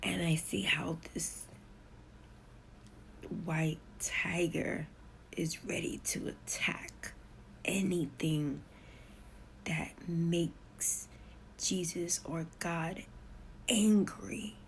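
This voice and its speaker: Middle-aged woman, whispery testimony, low pitch, rambling. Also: steady tone, extremely slow, vocal fry, long pauses, rough recording. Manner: whispery, hushed delivery; rambling, repeats herself. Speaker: storyteller. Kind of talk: personal spiritual testimony.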